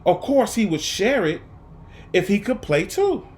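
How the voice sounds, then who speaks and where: A man reading a children's picture book aloud, phrasing it dramatically and deliberately with emphatic pauses. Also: high-pitched, sing-song, darkish tone. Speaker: storybook reader.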